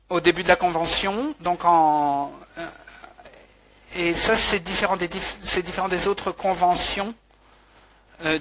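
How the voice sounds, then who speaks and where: Slow, bright-voiced man interpreting live. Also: on the high side, a little hiss or hum. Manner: halting, frequent restarts and fillers. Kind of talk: live interpretation of a webinar talk.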